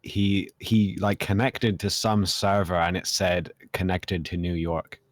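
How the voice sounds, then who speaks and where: A man's voice: deep voice, level tone, unhurried, no room sound. A young British man with a British accent, teasing his friends in dry remarks on a casual podcast. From Britain. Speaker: podcast co-host. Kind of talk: casual group chat.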